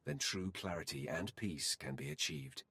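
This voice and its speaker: An actor playing a Sith warrior and his solemn light-side mirror self in a video game, speaking in a measured, formal, gravelly voice. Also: fairly low pitch, very animated, bright, forceful tone, dry recording, heavily acted. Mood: solemn, earnest. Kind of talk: video game dialogue acting.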